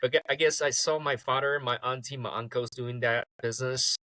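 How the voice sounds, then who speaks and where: A man, a guest talking in a relaxed way and laughing easily. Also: mid-range voice, a little fast, no echo, poor audio. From Taiwan. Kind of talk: casual interview.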